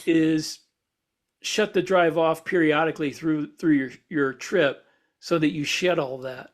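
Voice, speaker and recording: A man's voice: fairly high pitch, fairly even intonation, a little slow. A man co-hosting a podcast, talking through ideas in a casual, explanatory way as he thinks aloud. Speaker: podcast co-host. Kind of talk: casual discussion of speculative science for fiction.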